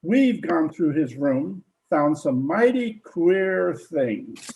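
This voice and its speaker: A man's voice: on the high side, big pitch swings, slow, a little mellow, exaggerated voice, low-quality recording. A man playing a plain-spoken police detective sergeant in an old-time radio drama, with a hesitant, folksy, polite manner. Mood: polite, probing.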